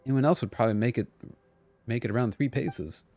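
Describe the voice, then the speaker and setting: A man voicing a fussy, long-winded engineer from a video game, officious and wordy with hesitant pauses. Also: mid-range voice, a little mellow, soft background music, close to an everyday voice. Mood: officious, earnest. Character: fussy, long-winded, bureaucratic engineer reading out repair plans.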